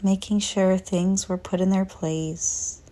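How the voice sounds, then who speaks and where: Very slow, soft woman guiding meditation. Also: medium pitch. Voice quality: soft, breathy, often near a whisper. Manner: calm, gentle, unhurried with long pauses. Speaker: guided meditation narrator. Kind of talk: slow guided visualization, soothing scripted narration.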